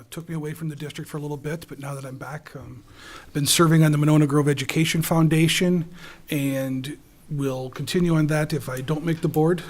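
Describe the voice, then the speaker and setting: Conversational man, a bit higher-pitched. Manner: loose and conversational, with many ums. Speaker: school board candidate, accountant. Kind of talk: candidate forum speech.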